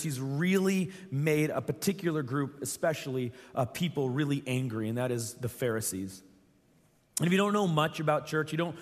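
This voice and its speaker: A young adult man preaching a sermon as pastor, his voice scratchy and strained, talking earnestly and repeating phrases for emphasis. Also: a little high. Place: large church hall. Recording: long reverb from a church hall.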